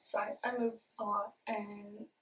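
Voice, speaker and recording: A woman's voice: medium pitch, level tone, unhurried, fairly bright tone, distant mic, slight background noise. A young woman vlogging casually to camera with a speech impediment that blurs some sounds, earnest and open, with many ums and repeated words. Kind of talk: casual confessional talk to camera.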